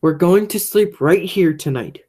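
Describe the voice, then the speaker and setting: A woman's voice: on the low side, a little slow, dark tone, breathy edge. A young woman playing a menacing, stubborn male criminal in an amateur radio play. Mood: commanding, stubborn. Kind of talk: radio play acting, high school theatre.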